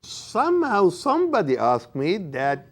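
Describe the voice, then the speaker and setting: A man interviewing a guest, asking questions in a halting way with frequent restarts and repeated words. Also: high voice, huge pitch swings.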